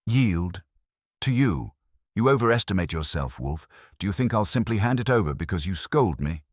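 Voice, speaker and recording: A man's voice: fairly low pitch, animated, normal speed, dry recording, studio quality, pitched above the performer's own voice. A man voicing a proud, furious male schemer in a narrated mystery, barking and sneering with defiance. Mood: scornful, furious.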